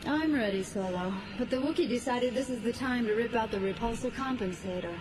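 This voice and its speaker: A woman's voice: a little high, brisk, close to an everyday voice. An actress playing a flirty, sardonic female spacer in a sci-fi audio drama. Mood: exasperated. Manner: teasing, sardonic. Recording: clear ambient noise.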